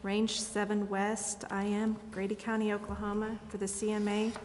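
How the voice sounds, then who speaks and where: Even-toned American councilwoman in reverberant room. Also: medium pitch, moderate pace. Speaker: city council member. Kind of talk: formal meeting remarks and motions. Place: council chamber. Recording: reverberant meeting room, faint noise.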